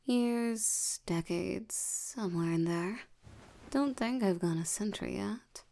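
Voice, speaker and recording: A woman playing a lazy, sleepy, ancient demon in an ASMR roleplay, drowsy and unbothered, with a soft tired voice. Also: medium pitch. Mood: drowsy, hungry. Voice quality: soft, breathy, creaky tired voice. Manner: drowsy, slow, drawling, unbothered. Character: sleepy, lazy female sloth demon, ancient but youthful.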